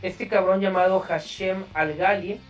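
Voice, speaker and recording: A man's voice: high-pitched, fairly even intonation, unhurried, distant mic, low-quality recording. Mexican man chatting casually over music. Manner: slangy, profane. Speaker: podcast host. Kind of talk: casual podcast chat.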